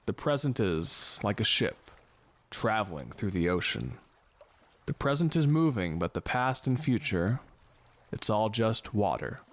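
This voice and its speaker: An actor playing a gloomy, philosophical man on a ship in an audio drama, with a solemn deadpan delivery. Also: on the low side, unhurried. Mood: somber, musing. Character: gloomy philosophical ship's man, fatalistic and slow to romance.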